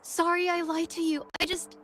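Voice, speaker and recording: A woman voicing an earnest, gentle young female artist in a video game, speaking with halting hesitation. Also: very high-pitched, flat delivery, background noise. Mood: apologetic. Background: game music.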